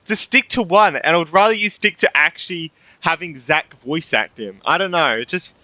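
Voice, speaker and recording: A young man giving casual, informal, exclamatory live commentary as a gaming streamer, halting as he thinks, close on the microphone. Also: fairly high pitch, sharp tone, no room sound.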